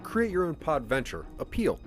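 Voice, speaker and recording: A man narrating a scripted second-person adventure story in a dramatic, deliberate storytelling manner. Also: fairly high pitch, wildly swooping pitch, soft background music. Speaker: narrator of a branching audio drama.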